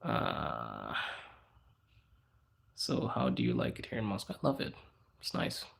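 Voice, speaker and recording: A young man hosting a casual livestream, answering chat in a hushed inside voice because people are around him. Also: very deep voice, huge pitch swings, a little slow, creaky. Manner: speaking quietly in an inside voice, laid back. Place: out in public in a city, people around.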